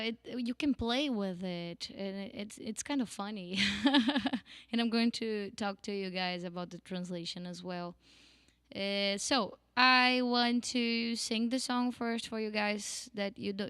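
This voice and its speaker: A woman's voice: mid-range voice, fairly bright tone, clean tone, dry recording. A Brazilian woman with a Brazilian accent teaching a singing lesson in English, chatty and laughing often. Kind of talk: casual online lesson.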